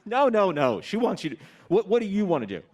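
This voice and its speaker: Energetic male event host, pitch that swings widely, chatty and playful with the graduates. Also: fast talker. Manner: energetic, joking, repeats questions. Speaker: pre-ceremony host interviewing graduates. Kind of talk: upbeat live-stream interviews. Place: outdoors at a graduation ceremony.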